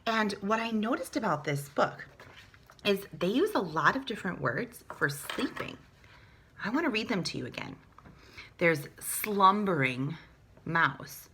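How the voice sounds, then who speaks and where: A woman teacher reading a picture book aloud to young children, with sing-song, drawn-out phrasing, hushed moments and explanatory asides. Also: lowish voice.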